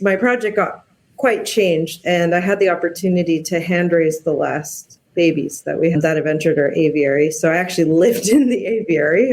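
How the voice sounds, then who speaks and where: A woman's voice: on the low side, a little mellow. A woman speaking as a researcher on a panel, telling stories in an informal, chatty, conversational way. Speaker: cockatoo researcher, panel guest. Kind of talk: casual conversational panel talk.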